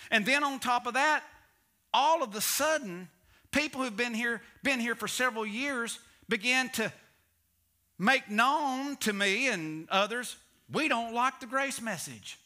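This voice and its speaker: Animated high-voiced preacher. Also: male voice, a little slow. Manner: animated preaching, swinging between loud emphasis and low hushed asides. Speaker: pastor. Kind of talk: sermon. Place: church stage.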